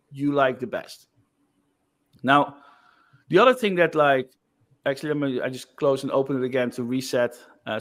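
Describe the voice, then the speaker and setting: A man giving a casual screen-share demo of software, loose and chatty with frequent fillers. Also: on the high side. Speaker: software developer presenting.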